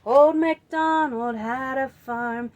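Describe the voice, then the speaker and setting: Storytime presenter: woman, high voice, unhurried, playful and expressive for young kids. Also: dull audio. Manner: playful, expressive, drawn-out emphasis. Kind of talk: children's storytime singing and storytelling.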